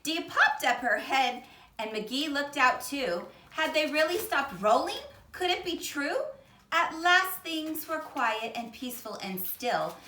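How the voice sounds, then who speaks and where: A woman reading a children's picture book aloud in an expressive, deliberate way, with dramatic emphasis. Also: sits high, recorded from a distance, a little hiss or hum. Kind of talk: animated children's storybook read-aloud. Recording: echoey, roomy sound.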